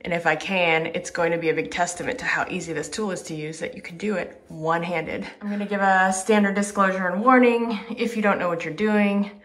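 A woman casually showing how to use a tool indoors while filming with one hand on a handheld camera. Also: on the low side. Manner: relaxed, unhurried, instructive. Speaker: vlogger demonstrating a tool. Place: indoors in a room under renovation.

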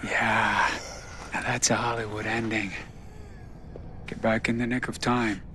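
A man's voice: on the low side, flat delivery, unhurried, sharp tone, slight background noise. A man playing an anxious, idealistic survivor in a video game, speaking with earnest emotion. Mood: heartfelt.

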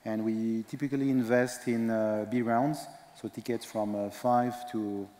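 A man in his thirties speaks English with a French accent, pausing often on fillers as a panelist. His voice sits fairly low. He wears a headset mic on a conference stage, and a large hall echoes with long reverb. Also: level tone, mellow. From Spain. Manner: halting, many fillers. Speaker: panelist, investor. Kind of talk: panel discussion.

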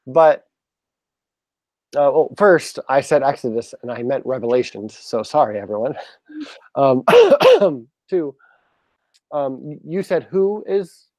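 A young man hosting a casual interview over a video call from his room at home, chatty and quick to laugh. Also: medium pitch, big pitch swings, a little mellow, no room sound. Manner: chatty, laughs easily, asks rambling questions. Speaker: host. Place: home room with a shelf of collectibles. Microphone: video call webcam.

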